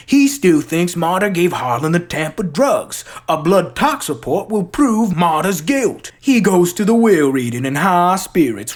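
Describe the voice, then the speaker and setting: A performer reciting as a theatrical, shrewd Southern gentleman detective with a drawling American Southern accent. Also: mid-range voice, pitched above the performer's own voice, natural voice. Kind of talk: dramatic recitation of a film monologue.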